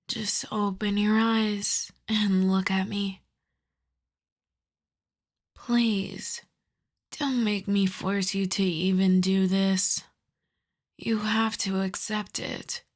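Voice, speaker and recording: A woman voicing a lovesick, possessive female vampire in an intimate ASMR roleplay, hushed and often slipping into whispers. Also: mid-range voice. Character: lovesick female vampire, sorrowful, pleading, possessive, tenderly menacing.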